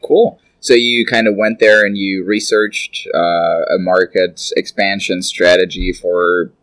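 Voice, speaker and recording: A man's voice: deep voice, steady tone, measured pace, fairly bright tone, dry recording. A young man hosting a podcast, chatting casually as he interviews his guest.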